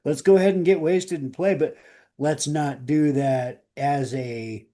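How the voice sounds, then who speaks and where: A man's voice: on the high side, steady tone, measured pace, warm tone. A middle-aged American man, a musician, telling anecdotes in a loose, casual conversational way.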